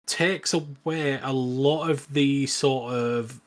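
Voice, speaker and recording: A man co-hosting a podcast, casually discussing a film in measured, slightly tentative phrases. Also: medium pitch, fairly even intonation. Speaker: podcast co-host. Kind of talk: casual film review chat.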